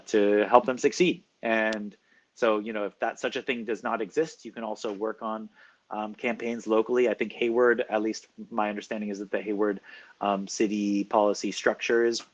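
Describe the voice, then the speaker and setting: A man answering interview questions as a professor, explaining at length in a measured way with frequent fillers. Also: fairly low pitch, muffled recording.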